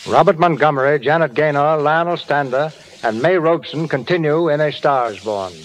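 A man's voice: on the high side, a little fast, rough recording. A man announcing and narrating a scripted drama in 1930s radio style. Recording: old radio broadcast, loud music.

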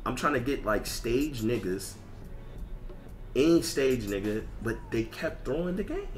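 A man's voice: medium pitch, very animated, a little slow. A man chatting casually on a livestream as a video game streamer, ranting in profane, repetitive slang. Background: music.

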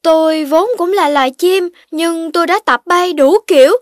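Very high, excitable little penguin. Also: female voice, moderate pace, no room sound, clean studio sound. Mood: pleading. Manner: pleading, impulsive. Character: small, impatient, excitable penguin longing to fly. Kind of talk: children's cartoon dialogue. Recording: children's cartoon music underneath.

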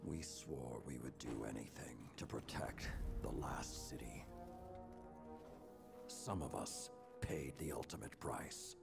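An actor playing a solemn, weary last warrior lord in a video game, speaking slowly and gravely in dramatic narration. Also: male voice, huge pitch swings, exaggerated voice. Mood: somber, hushed. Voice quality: gravelly, very deep. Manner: solemn, slow, weighty delivery. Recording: music under the voice. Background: cinematic music.

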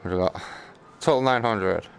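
A man's voice: lowish voice, fairly quick, creaky. A British man giving casual live gaming commentary, grumbling sarcastically with mild swearing over background noise. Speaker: gaming streamer.

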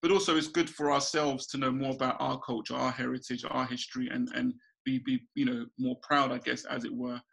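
A man in his thirties from Ghana, a small business owner, chatting relaxed over a video call from a small room at home, laughing often and restarting his sentences. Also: mid-range voice, fairly quick, gravelly, hardly any pauses. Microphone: video call webcam.

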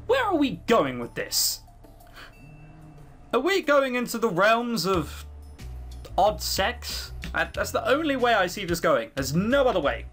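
Lively game commentator with music behind. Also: medium pitch, a little slow, pauses often. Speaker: let's player reading a visual novel aloud.

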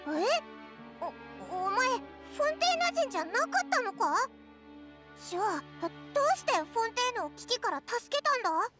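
Very high squeaky fairy girl. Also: moderate pace, over music. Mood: startled. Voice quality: squeaky, childlike. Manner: blunt, flustered, excitable. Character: tiny childlike floating fairy girl, blunt and excitable. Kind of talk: Japanese anime-game voice acting.

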